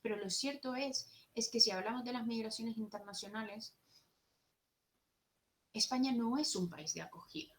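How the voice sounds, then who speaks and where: A woman's voice: fairly high pitch, normal speed, pauses often. A young woman with a Jerez Andalusian accent giving an earnest, explanatory conference talk at a social work seminar, partly read from notes. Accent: Andalusian Spanish, from Jerez.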